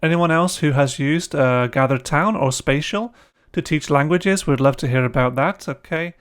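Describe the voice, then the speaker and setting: A man's voice: on the high side, moderate pace, clean tone. A man hosting a casual discussion on a video call, friendly and chatty while playing the learner. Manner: friendly, chatty, light laughs. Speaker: host, language educator.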